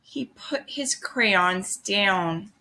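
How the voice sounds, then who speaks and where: A woman reading a children's picture book aloud in an expressive, deliberate way. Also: mid-range voice, rough recording. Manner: slow, expressive, deliberate phrasing. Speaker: storybook reader.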